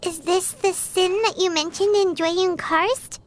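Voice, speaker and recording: Tiny female sprite companion, very high-pitched, slow, game cutscene. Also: fairly even intonation, slight background noise. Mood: pleading. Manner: speaks of herself in third person.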